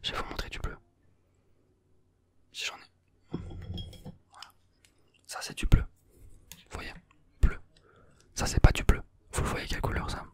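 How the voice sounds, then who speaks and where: A young adult speaks in a soft, breathy whisper with almost no voiced sound. The manner is that of an ASMR examiner who is playful and teasing while staying gently reassuring. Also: unhurried, long pauses. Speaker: ASMR roleplayer playing an examiner.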